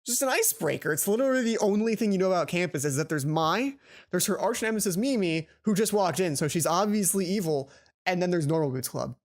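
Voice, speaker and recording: A woman reading a visual novel aloud for a let's play, expressive, with casual asides of her own. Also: fairly low pitch, quick pace. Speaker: let's player.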